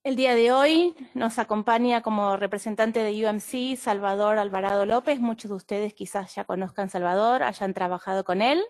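A woman's voice: medium pitch, moderate pace, hardly any pauses. A woman with an Argentine Spanish accent, hosting and presenting an online training webinar in a friendly, explanatory way. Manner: friendly, explanatory, with occasional fillers. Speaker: webinar host and clinical associate.

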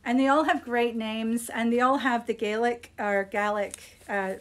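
A woman's voice: a little high, steady tone, a little slow. A middle-aged woman chats casually and unscripted as she presents products on a live shop stream. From Scotland. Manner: chatty, unscripted, appreciative. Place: small indoor room. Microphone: phone at arm's length.

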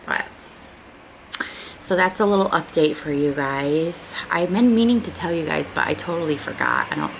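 A woman's voice: low-pitched, very animated, moderate pace, vocal fry. An American woman in her forties chatting casually to her viewers in a rambling, informal, conversational way. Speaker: vlogger. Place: small living room at home, indoors. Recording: noticeable background room noise.

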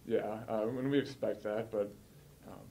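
A man in his early twenties, a college football player giving measured, matter-of-fact answers at a post-game press conference. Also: on the low side, steady tone, dark tone, a little hiss or hum. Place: indoor press room, seated at a table.

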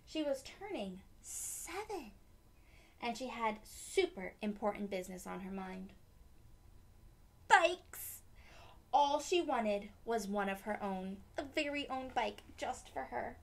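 A woman's voice: on the high side, animated, slow pace, vocal fry. A young adult woman hosting a children's read-aloud, explaining things simply and expressively as she goes. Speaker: storytime host, teacher. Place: small decorated room indoors.

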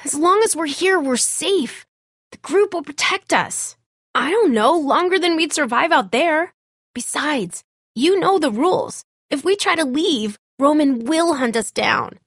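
Game role: blunt, sarcastic teen girl survivor, lively and varied delivery, very dry close sound. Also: high voice, unhurried, no room sound, heavily acted. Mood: anxious, insistent. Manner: blunt, snarky, slangy. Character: tough, sarcastic, blunt teenage girl surviving a zombie apocalypse. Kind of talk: naturalistic video game voice acting.

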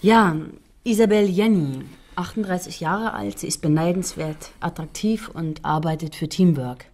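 An actress playing a composed, observant female prosecutor, polite and quietly concerned, in a German radio crime drama. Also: lowish voice, normal speed, natural voice.

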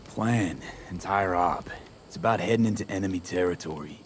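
An actor playing a frank, serious mercenary in a video game, talking in clipped, blunt phrases. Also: male voice, low-pitched, normal speed, slight background noise, lightly acted. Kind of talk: video game cutscene dialogue.

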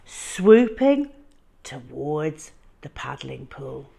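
A woman storyteller reading a picture book expressively, with a dramatic, drawn-out delivery for young children. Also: low-pitched, big pitch swings, gravelly, warm tone, a little hiss or hum.

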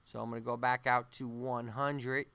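A man giving an unscripted software tutorial, thinking aloud haltingly as he works through each step. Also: medium pitch, little pitch movement, vocal fry, clean tone. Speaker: animation tutorial instructor. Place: voice-over on a screen-recording tutorial.

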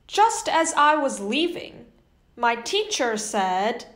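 Bright, high-pitched young woman reading slowly. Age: young adult. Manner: animated, carefully enunciated. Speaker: host and children's story reader. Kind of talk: children's picture book read-aloud. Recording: echoey indoor room.

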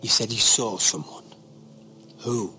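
An actor playing a solemn, protective young lord in a fantasy video game, measured and serious. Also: male voice, fairly low pitch, bright, forceful tone, slight background noise, exaggerated voice. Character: solemn, battle-scarred young lord, protective older brother. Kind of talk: dramatic video game acting. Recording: music behind the voice.

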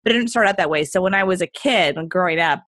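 A woman's voice: on the low side, fast talker, fairly bright tone, dry recording, studio quality. A woman in her forties from Texas with a neutral accent, telling her story in a casual interview and laughing often. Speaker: guest entrepreneur.

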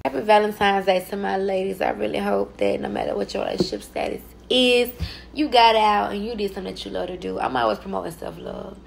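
A woman's voice: medium pitch, fairly even intonation, fast, creaky, slight background noise. A woman vlogging to her phone at arm's length, talking in an emphatic, profane, self-assured way. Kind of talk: casual selfie vlog talk. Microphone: phone held at arm's length.

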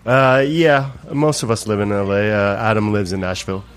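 Low-voiced easygoing male musician. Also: steady tone, normal speed, clear, resonant tone. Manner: short, easygoing answers. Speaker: band member. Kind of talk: casual interview answers.

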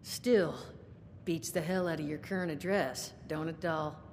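An actress playing a tough, plain-spoken, streetwise woman in a video game, with a folksy, wry manner and a gravelly voice. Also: steady tone, measured pace, a little mellow. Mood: teasing. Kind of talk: video game dialogue acting. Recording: long reverb, rumbling background noise.

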